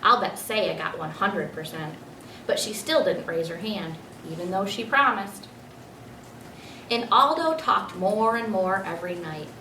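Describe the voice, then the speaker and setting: Woman, expressive storybook narration, children's book read aloud, roomy echo, faint background noise. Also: mid-range voice, unhurried. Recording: echoey room, distant mic, faint noise.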